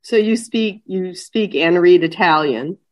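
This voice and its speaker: A woman hosting an interview, asking questions and offering soft praise. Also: lowish voice, unhurried. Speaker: podcast host. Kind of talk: casual interview.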